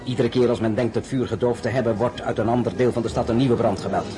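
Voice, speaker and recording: An actor playing a shrewd, suave diplomat at an imperial court in a radio play, measured and insinuating. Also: male voice, medium pitch, fairly even intonation, noisy background, natural voice. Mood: grave, discreet.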